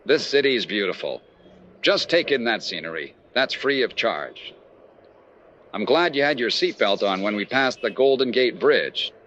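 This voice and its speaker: Man acting a lively radio insurance investigator. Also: medium pitch, moderate pace, dull audio, a little hiss or hum, lightly acted. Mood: wry, easygoing. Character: brisk, sharp-eyed male insurance investigator, wry and confident. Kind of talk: old-time radio detective drama acting.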